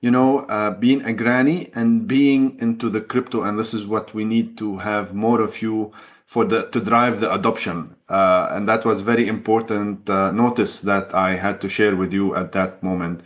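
A man with a fairly low voice hosts an online interview show. He greets his guest in a friendly way and asks his questions with many fillers and loosely built sentences. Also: fairly even intonation, moderate pace. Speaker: show host.

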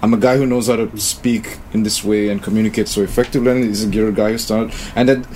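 A man's voice: on the low side, level tone, quick pace, darkish tone, slight background noise. A man co-host chatting loosely in a casual podcast conversation.

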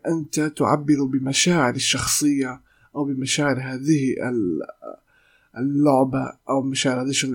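Husky young man hosting a podcast. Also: mid-range voice. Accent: Palestinian. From Palestine. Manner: hesitant, many "uh" fillers and restarts. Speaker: podcast host and voice actor. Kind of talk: solo podcast talk in Modern Standard Arabic.

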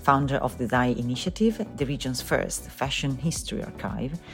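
A woman's voice: extremely low pitch, animated, normal speed, gravelly, soft background music. Polished woman podcast host. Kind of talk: scripted intro and interview questions.

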